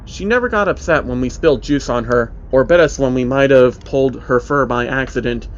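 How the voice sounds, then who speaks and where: A man's voice: medium pitch, fairly quick, a little hiss or hum. Man narrating a story, slightly dull audio. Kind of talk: scripted dramatic story narration. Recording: dull lo-fi audio under music.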